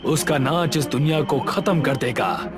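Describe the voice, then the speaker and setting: A menacing occult sorcerer from a horror audio story, acted by a man. His voice rises well above a plain speaking pitch, theatrical and taunting, stretching key words over clearly audible music. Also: a little slow, lightly acted. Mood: menacing, fanatical. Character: menacing red-eyed occult sorcerer guarding his tree.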